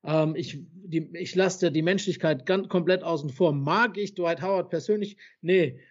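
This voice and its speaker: A man's voice: fairly high pitch, moderate pace, muffled recording. A man in a casual podcast chat, passionately reeling off sports stats, swearing now and then for emphasis, with many fillers and tag questions. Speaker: podcast co-host. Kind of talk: casual basketball debate.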